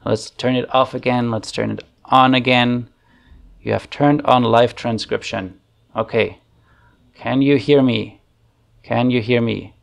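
Man, German accent, 30s, gravelly voice, middle pitch, relaxed chatty tutorial, desk mic at home. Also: measured pace. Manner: relaxed, chatty, thinking aloud with fillers. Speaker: online facilitation trainer. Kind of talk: casual screen-share tutorial. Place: home room. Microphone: desk microphone on arm.

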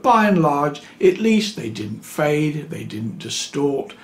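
A man in his seventies talking off the cuff to camera as a hobbyist, explaining things in a wry, halting, dryly sarcastic way. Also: on the high side, very animated. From New Zealand (lives there). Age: 70s. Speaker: electronics hobbyist video host. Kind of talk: unscripted explanatory talk to camera. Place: small home electronics workroom, indoors.

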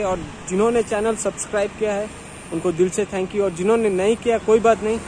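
A man in his thirties vlogging while cycling on city roads, talking casually and cheerfully, with English phrases mixed in. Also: high-pitched. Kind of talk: casual vlog narration while riding. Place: outdoors on a road, riding a bicycle. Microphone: helmet or handlebar action camera, later selfie at arm's length. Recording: loud music bed under outdoor traffic noise.

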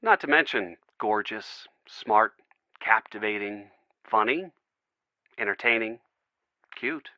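Bright, earnest young American boyfriend. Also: male voice, lowish voice, expressive, takes it slowly, pauses often, no room sound, highs cut off, heavily acted. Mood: playful, fond. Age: young adult. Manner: earnest, gently joking. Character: caring, loyal young boyfriend, gently wry. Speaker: voice actor in a video game. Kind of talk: video game dialogue, phone conversation.

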